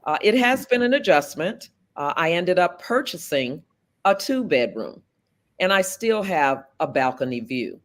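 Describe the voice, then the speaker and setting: A woman in her fifties from South Florida, a political candidate answering interview questions in an earnest, deliberate way with measured pauses. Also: lowish voice, sing-song. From South Florida, USA.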